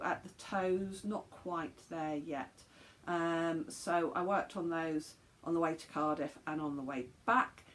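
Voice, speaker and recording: Slightly croaky woman, chatty. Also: deep voice, a little hiss or hum. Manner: chatty, rambling, many ums and restarts. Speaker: knitting podcast host. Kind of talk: casual chat to camera.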